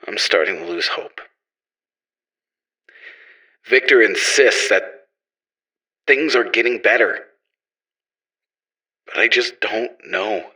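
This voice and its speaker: An actor playing a despairing scientist father on a space station in a horror drama, speaking in a halting, weary, earnest way. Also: on the low side, sharp tone, heavily acted, rough recording. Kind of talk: audio horror drama, personal log monologue.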